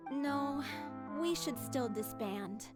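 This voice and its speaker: Frail unlucky game girl, high voice, resigned but feisty, loud music behind. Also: expressive, takes it slowly. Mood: exasperated. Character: frail, unlucky young troupe actress, resigned yet feisty. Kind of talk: video game voice acting.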